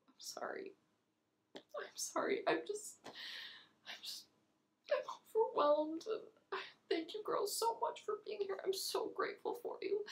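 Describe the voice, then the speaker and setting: A woman performing a dramatic monologue as a volatile, self-pitying, jilted young woman, swinging between breathy whimpers and loud outbursts. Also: high voice, full character voice.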